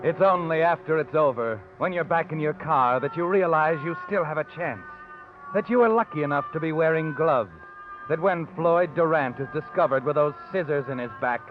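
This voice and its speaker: An actor playing an ominous, knowing narrator of a 1940s radio suspense story. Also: male voice, fairly high pitch, normal speed, lightly acted. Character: ominous, knowing male storyteller addressing the guilty. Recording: tinny old radio broadcast with music.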